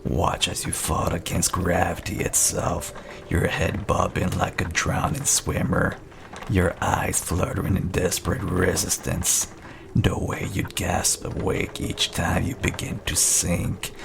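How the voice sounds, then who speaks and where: A man playing a sinister, possessive entity that taunts its sleepless victim in a dark horror audio roleplay, with a gravelly, breathy whisper and a menacing, intimate manner. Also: sits low, monotone, takes it slowly, background noise, full character voice. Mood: taunting, savoring.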